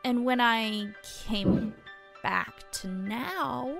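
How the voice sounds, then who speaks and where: Woman acting as small-town female reporter, fairly high voice, earnest, thoughtful, measured, natural audio drama delivery. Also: creaky, music in the background, full character voice. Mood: reflective, confiding. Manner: explanatory, measured, reflective.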